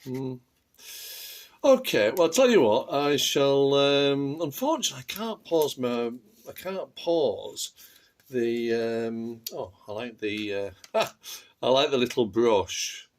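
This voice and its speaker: A man talks slowly through a hands-on task in an informal home-video style. His delivery is hesitant and unscripted, often dropping into muttering to himself as he works with fiddly little parts. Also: medium pitch, expressive. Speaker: amateur video maker demonstrating a stylus replacement. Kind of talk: casual unscripted how-to narration to camera.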